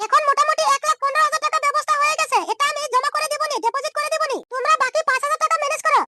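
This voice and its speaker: A man voicing a generous, no-nonsense woman in an amateur comedy cartoon, speaking matter-of-factly through a chipmunk-like pitch effect. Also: level tone, racing, loud music behind the voice. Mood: practical, helpful. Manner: matter-of-fact, brushing off thanks.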